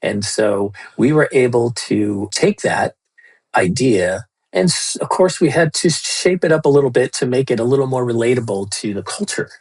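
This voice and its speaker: Casting note: male interview guest, husky and slightly breathy, talks loosely with plenty of "uh"s and restarts. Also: mid-range voice, lively intonation, normal speed. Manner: loose, with many fillers and restarts. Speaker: guest, storytelling lead at a space lab. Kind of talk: conversational interview answers.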